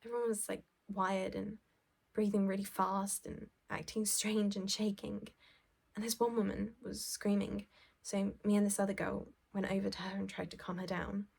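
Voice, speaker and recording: A woman performing a stage monologue as a self-absorbed, well-off young office worker, shaken, halting and sweary as she recounts events. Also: mid-range voice, a little mellow. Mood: agitated, overwhelmed. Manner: halting, sweary, breathy drops into near-whisper. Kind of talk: dramatic stage monologue, conversational first-person recounting.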